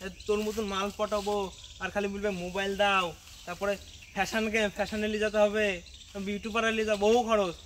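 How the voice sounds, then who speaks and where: Foul-mouthed, mocking layabout for a comedy sketch male actor; high voice, rapid patter. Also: flat delivery, a bit bright, slight background noise. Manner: coarse, mocking. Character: crude, foul-mouthed, jeering idle male hustler.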